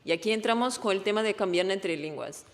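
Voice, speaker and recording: Woman w portuguese accent, native portuguese speaker, giving a conference talk, explains stuff haltingly and fixes her words, big echoey hall. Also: mid-range voice. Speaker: researcher presenting a study. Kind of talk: conference presentation. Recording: echoey hall with long reverb.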